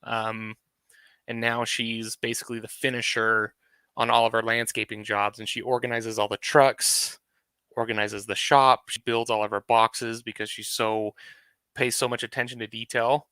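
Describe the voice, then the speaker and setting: A man's voice: medium pitch, flat delivery, moderate pace, pushed and bright, clear, resonant tone, dry recording. A man who runs a landscaping business, talking casually on a video call panel with lots of fillers. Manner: conversational, with frequent fillers.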